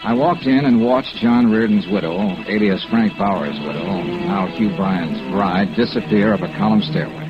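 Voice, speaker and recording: An actor playing a tough, dogged insurance investigator in vintage radio detective drama, with a clipped, matter-of-fact delivery. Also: male voice, lowish voice, moderate pace, hardly any pauses, music in the background, close to an everyday voice. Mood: grim. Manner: clipped, hard-boiled, matter-of-fact narration. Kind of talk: 1940s-50s radio detective drama acting. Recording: dull vintage radio recording.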